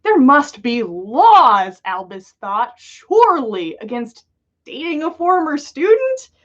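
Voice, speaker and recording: Expressive woman storyteller with lively, swooping pitch. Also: muffled recording. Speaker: podcast host reading listener-written fan fiction. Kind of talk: animated storytelling read aloud on a livestream.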